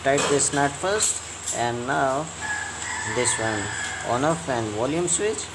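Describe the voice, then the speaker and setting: Slow, low-voiced woman over loud noise. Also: lively intonation. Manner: halting, short simple phrases. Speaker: repair tutorial presenter. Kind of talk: step-by-step hands-on instruction. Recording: loud background noise, bird and animal sounds.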